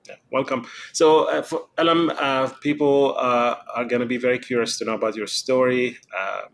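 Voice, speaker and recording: A man hosting a podcast interview who talks in a halting way, with many fillers. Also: mid-range voice, fairly even intonation, a bit bright. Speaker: podcast host.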